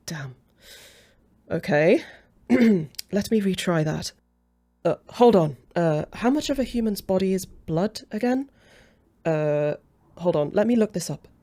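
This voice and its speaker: Woman acting a low, mellow, haughty female vampire. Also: lively intonation, slow pace, long pauses. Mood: flustered, irritated. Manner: snippy, sarcastic, easily flustered. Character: haughty, jealous, bickering female vampire, romantically obsessed. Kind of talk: ASMR roleplay.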